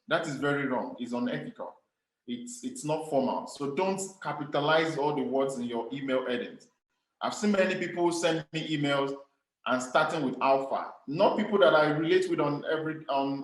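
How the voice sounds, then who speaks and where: A man's voice: on the high side, roomy sound, rough recording. Earnest Nigerian man giving advice. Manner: earnest, emphatic, repeats key phrases. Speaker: speaker on communication skills. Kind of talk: motivational talk to young people.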